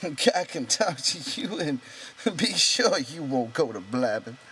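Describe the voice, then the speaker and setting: A man's voice: a little high, big pitch swings, unhurried, sharp tone, slight background noise, pitched above the performer's own voice, full character voice. A man voicing a proud, bitter, lonely stable hand in an audiobook, talking in an insistent, rambling colloquial way. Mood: probing. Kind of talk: character voice in an audiobook reading.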